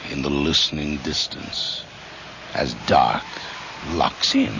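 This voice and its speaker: A man hosting a radio horror series, giving a theatrical scripted introduction in an ominous, deliberate manner. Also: a bass voice, noisy background. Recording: muffled radio recording under loud music.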